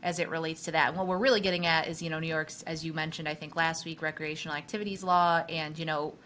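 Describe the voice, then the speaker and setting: A woman with a lowish voice hosts a professional podcast conversation on workplace law, speaking with a faint hum of noise behind her. She is from the Buffalo area of New York and keeps a friendly, affirming tone, sprinkling her speech with "you know." She comes across as a practiced lawyer guiding the discussion. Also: level tone, quick pace, fairly bright tone. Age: adult, past law school. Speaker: podcast host, employment lawyer.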